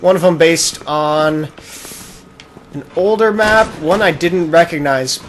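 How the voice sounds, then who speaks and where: A man's voice: fairly high pitch, takes it slowly, background noise. A man co-hosting a casual podcast while playing a video game, often breaking off distractedly to react to what happens on screen. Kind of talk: casual chat over live gameplay. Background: video game sounds.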